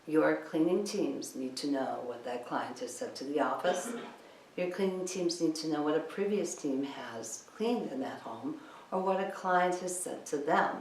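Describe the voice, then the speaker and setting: Echoey, low-voiced woman giving emphatic training talk. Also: lively intonation, measured pace. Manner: emphatic, insistent, rhetorical questions. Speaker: cleaning business trainer. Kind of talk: instructional talk, training lecture. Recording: echoey room, distant mic, background noise.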